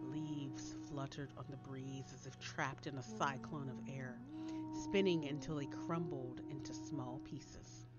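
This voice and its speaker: An American woman reading a story aloud as an expressive, dramatic audiobook narrator. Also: sits low. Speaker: author reading her own short story. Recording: dull lo-fi audio under loud music. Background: music.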